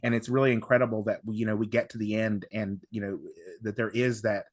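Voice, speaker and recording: A man's voice: on the low side, little pitch movement, fast talker, no echo, clean studio sound. A man speaking as a podcast panelist in casual analytical discussion, emphatic, often interrupting and repeating himself.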